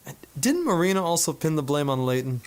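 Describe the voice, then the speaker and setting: A man playing a coolly proud 1950s hitman in a noir radio drama, confiding in a deliberate, wry way. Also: a little high, very animated, clear, resonant tone. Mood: friendly, guarded. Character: coolly proud 1950s hitman photographer narrating his confession.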